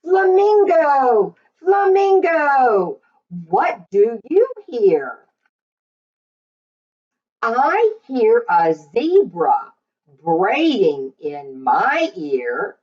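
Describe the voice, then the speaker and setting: A woman reading a picture book aloud to young children in an expressive, sing-song way. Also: fairly high pitch, drawn-out words. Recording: slightly dull, lo-fi recording.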